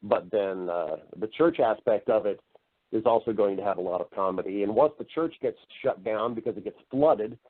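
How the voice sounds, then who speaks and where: A man's voice: fairly low pitch, moderate pace. A man chatting casually as an interview guest, talkative and given to explaining things at length in anecdotes. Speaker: interview guest, voice-over artist and actor. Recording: narrow, lo-fi phone-like line.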